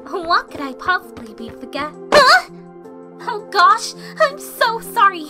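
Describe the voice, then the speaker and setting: An excitable teenage girl, played by a woman in a cartoon dub. Her very high voice is dramatic and animated, with music playing behind. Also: unhurried, heavily acted. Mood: flustered. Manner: flustered, dramatic. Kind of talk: comic dub voice acting.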